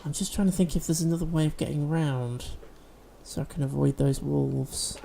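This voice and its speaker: Gaming host, male, mellow tone, sniffly, chatty walkthrough delivery. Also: fairly high pitch, fairly even intonation, moderate pace, creaky, a little hiss or hum. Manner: chatty, explains game mechanics as he plays. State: sniffly from allergies. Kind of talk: let's-play commentary.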